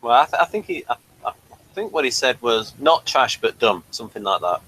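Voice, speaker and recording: A man's voice: mid-range voice, big pitch swings, a little fast, a bit bright, slight background noise, rough recording. A man co-hosting a casual review chat, often stumbling and repeating words as he starts a thought. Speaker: podcast co-host.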